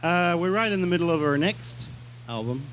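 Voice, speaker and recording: A man's voice: on the high side, expressive, brisk, fairly bright tone, a little hiss or hum, rough recording. A man from England leading a band, answering interview questions in a joking, self-deprecating way. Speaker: band leader. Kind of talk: casual interview.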